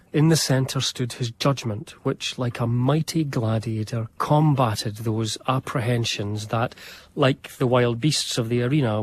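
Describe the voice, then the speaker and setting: An actor playing an earnest, anxious gentleman biographer, narrating a period radio drama in a formal, reflective way. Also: mid-range voice, close to an everyday voice. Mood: sombre, regretful. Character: earnest, anxious, devoted gentleman biographer recalling his friend.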